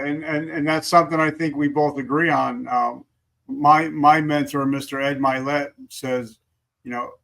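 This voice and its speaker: A man's voice: fairly high pitch, fairly bright tone. Upbeat fifty-something male host. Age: 50s. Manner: enthusiastic, repeats words when starting. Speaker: podcast host. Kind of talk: interview host.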